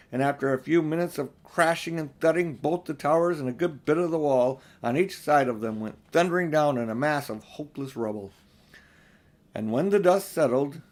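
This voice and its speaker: An older male narrator with a medium-pitched voice reads a children's story aloud. He delivers it as storybook narration for an audiobook.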